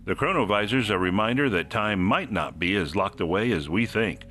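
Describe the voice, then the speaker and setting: A man's voice: lowish voice, expressive, normal speed, loud music behind the voice. A man hosting a podcast, reading scripted mystery narration in a suspenseful, theatrical manner. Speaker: podcast host.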